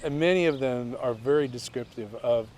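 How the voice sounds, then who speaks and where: A man's voice: mid-range voice, normal speed, a little mellow, a little hiss or hum. A man talking enthusiastically about growing daylilies in an interview. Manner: enthusiastic, chatty, laughing. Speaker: daylily grower.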